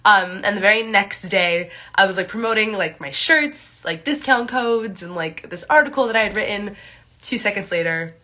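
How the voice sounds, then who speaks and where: A woman's voice: medium pitch, moderate pace. A young woman with a stuffy, congested nose, chatting casually to viewers on a phone livestream with lots of filler words, recorded in a small room. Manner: chatty, many "like" and "um" fillers, greets viewers brightly. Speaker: astrology livestream host. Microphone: phone camera at arm's length.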